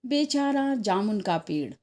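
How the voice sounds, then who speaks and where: A woman's voice: mid-range voice, very animated, unhurried, darkish tone. A woman voicing wistful male office clerks in a story, lamenting in a nostalgic way.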